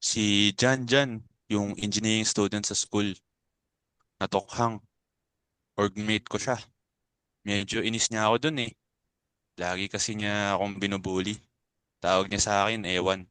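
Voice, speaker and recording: A man's voice: fairly low pitch, fairly even intonation, unhurried, pauses often, a bit bright, smooth, no room sound. A young man playing a naive, sensitive college student in a dramatic reading, rambling and conversational. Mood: puzzled, wounded. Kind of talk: dramatic stage reading in Tagalog.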